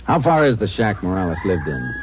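An actor playing a shrewd, matter-of-fact Texas Ranger investigator in a 1950s radio drama. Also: male voice, sits low, very animated, fairly quick. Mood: calm, probing. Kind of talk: 1950s radio drama dialogue and first-person narration. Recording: muffled, hissy 1950s radio recording.

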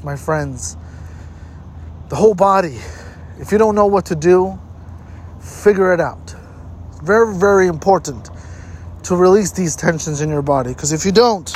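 High-pitched man giving emphatic motivational advice. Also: a little hiss or hum. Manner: emphatic, repeats points for stress. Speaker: host giving health tips. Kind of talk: motivational advice talk to camera.

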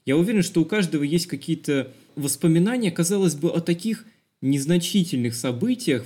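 Male podcast co-host, medium pitch, reflective and explanatory, for film discussion. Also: a little mellow.

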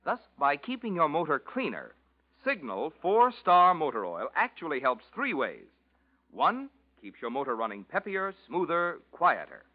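A male announcer pitches the product briskly, in an old-time hard-selling style. His voice is fairly high and swoops sharply up and down. It comes through a dull, muffled old radio recording. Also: wildly swooping pitch. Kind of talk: 1940s radio commercial.

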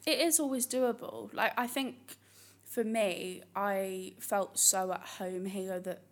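A woman's voice: mid-range voice, fairly even intonation, takes it slowly. A young woman from Staffordshire chatting casually as a podcast co-host. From Staffordshire, England. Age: young adult. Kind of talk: casual chat.

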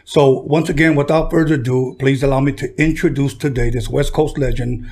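A man's voice: mid-range voice, fairly even intonation, a little mellow. A man hosting a podcast, chatting casually with a guest and often stumbling and restarting his words. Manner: halting, repeats words and restarts. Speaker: podcast host. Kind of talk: casual interview chat.

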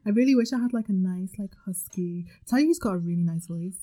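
A woman's voice: mid-range voice, fast, dark tone. A young woman with a Scouse accent, chatting and bantering on a podcast. She laughs often and talks in a loose, easy way. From Liverpool, England. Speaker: podcast co-host. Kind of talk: casual chat.

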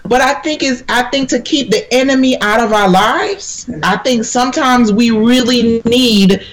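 Earnest preaching woman, high voice. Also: a little slow. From Jamaica. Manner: earnest, sermon-like, quotes scripture. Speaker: minister, guest. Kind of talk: conversational panel talk with preaching.

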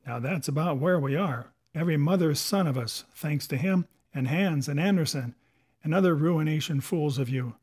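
A man's voice: fairly high pitch, darkish tone. A man voicing a cunning, defiant pirate captain in a storybook, with sarcastic questions and rustic sailor's talk. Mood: bitter, scornful. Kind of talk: dramatic audiobook character voice.

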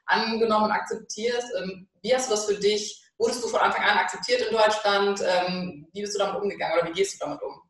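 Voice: mid-range voice, fairly even intonation, quick pace, few pauses, a bit bright. A podcast host asking long, curious questions in a casual interview, often adding "ne?". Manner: curious, asks long winding questions with frequent "ne?". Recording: very roomy sound, distant from the mic.

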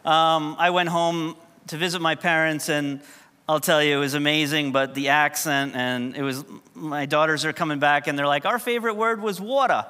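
High bright-voiced New preacher. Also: male voice, steady tone, moderate pace, smooth. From New York. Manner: conversational, humorous storytelling. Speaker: pastor. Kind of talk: sermon. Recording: long hall reverb.

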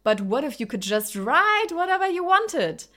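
A woman's voice: sits high, very animated, normal speed, sharp tone. A woman whose native languages are Lithuanian and Russian gives an earnest, reflective motivational talk, often putting questions straight to the listener. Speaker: spiritual self-help speaker.

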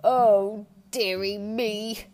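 Woman doing a fussy storybook king, childish, emphatic, drawn-out words, music behind. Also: lowish voice. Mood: put out.

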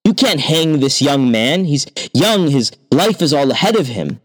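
A man's voice: on the high side, sing-song, measured pace, pitched above the performer's own voice. Brief: storybook holy man, earnest and firm, voiced by a male storyteller. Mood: protective. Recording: long hall reverb.

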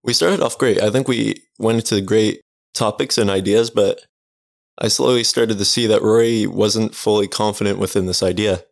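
A young Canadian man hosting a casual podcast, relaxed and rambling with restarts. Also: on the low side, normal speed, creaky, no room sound, studio quality. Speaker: podcast host.

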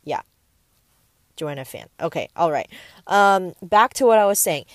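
A woman's voice: on the low side, expressive, measured pace, no echo. A nineteen-year-old woman from the Bay Area hosting a casual solo podcast, rambling with lots of fillers and getting easily sidetracked. She records in a parked car on earbud mics. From San Francisco Bay Area. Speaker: podcast host. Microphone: wired earbuds mic into a tablet.

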